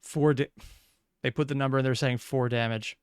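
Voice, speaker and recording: A man's voice: medium pitch, fairly even intonation, moderate pace, clean tone, dry recording, studio quality. A man hosting a reaction video, commenting casually in a rambling, analytical way.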